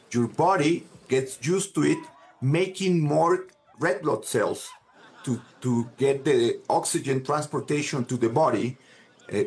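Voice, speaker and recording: A man's voice: mid-range voice, darkish tone. A man, a sports science expert, explaining in an interview with frequent hesitations. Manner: hesitant, many fillers and restarts.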